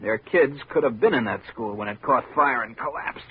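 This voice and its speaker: An actor playing a beaten, sardonic, world-weary architect with an eloquent, theatrical manner in a 1950s radio drama. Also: male voice, medium pitch, normal speed, slightly rough. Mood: bitter, wounded. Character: bitter, beaten, sardonic male small-town architect. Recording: narrow muffled vintage radio recording.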